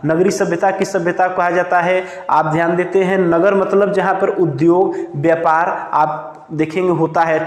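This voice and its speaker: A male teacher lecturing and dictating notes, asking questions and answering them himself, slowing down to spell out each word as he writes. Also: on the high side. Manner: asks and answers his own questions, slows down to dictate words while writing. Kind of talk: classroom lecture with note dictation. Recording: echoey room with long reverb.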